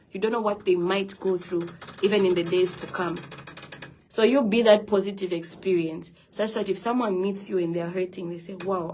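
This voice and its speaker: A woman's voice: on the low side, darkish tone, a little hiss or hum. A young woman hosting a phone live stream, talking earnestly and encouragingly to her viewers about self-love and positivity. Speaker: livestream host.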